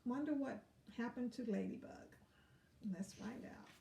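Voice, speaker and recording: A woman reading a picture book aloud to children, expressive and pausing to put questions to them. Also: fairly high pitch, creaky, distant mic, a little hiss or hum. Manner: expressive, asks questions of young listeners. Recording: roomy echo, away from mic.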